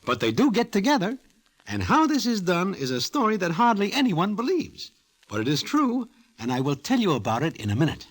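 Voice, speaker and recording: An actor playing a wry small-time gambler from Broadway in an old-time radio drama, speaking stilted formal slang. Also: male voice, sits high, wildly swooping pitch, fairly quick. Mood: wry storytelling.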